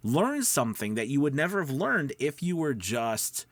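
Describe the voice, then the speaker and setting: A man's voice: medium pitch, sing-song, normal speed, no echo. A man giving casual, rambling coaching advice to viewers, emphatic and self-deprecating. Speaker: voice-over coach and video host.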